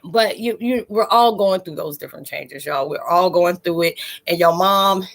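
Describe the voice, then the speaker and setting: A woman's voice: on the low side, moderate pace. A woman in her forties hosting a live stream, chatting casually and laughing as she answers viewer comments. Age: 40s. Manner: chatty and laughing, self-described psychic.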